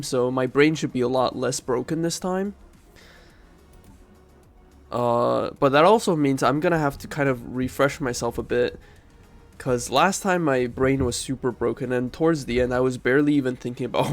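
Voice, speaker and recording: A man with a congested, stuffy nose talks through a strategy game at an ordinary middle pitch. His voice has a gravelly, creaky edge. He rambles and corrects himself as he thinks aloud. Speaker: game streamer. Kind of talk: casual gameplay commentary.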